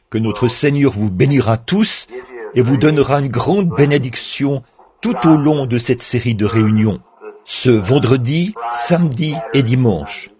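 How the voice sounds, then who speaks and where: A man reading a translated sermon aloud in an earnest, measured preaching manner. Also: medium pitch. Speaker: French reader of a translated sermon.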